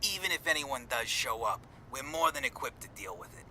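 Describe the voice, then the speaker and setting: An actor in a radio drama playing a cocky, trigger-happy gangster lieutenant with a brash, slangy, nonchalant manner. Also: male voice, medium pitch, very animated, brisk, pushed and bright, slight background noise. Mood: cocky, persuasive.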